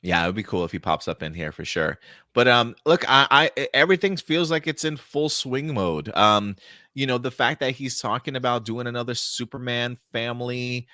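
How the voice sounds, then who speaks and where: A man's voice: mid-range voice, moderate pace, sharp tone, no room sound, studio quality. A man hosting a casual live entertainment talk show, discussing as a fan in an emphatic, opinionated way.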